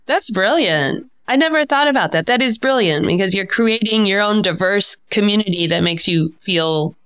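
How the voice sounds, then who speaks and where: A woman's voice: medium pitch, lively intonation, normal speed, fairly bright tone, clear, resonant tone, no echo. A woman hosting a podcast, introducing and interviewing a guest. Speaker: podcast host.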